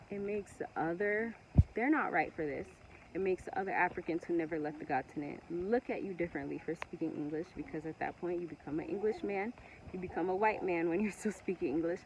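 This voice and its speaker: A woman from Togo whose first language is Ewe, talking earnestly and passionately to camera with many pauses and restarts. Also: on the low side, darkish tone, muffled recording, slight background noise. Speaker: vlogger. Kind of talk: casual monologue to camera.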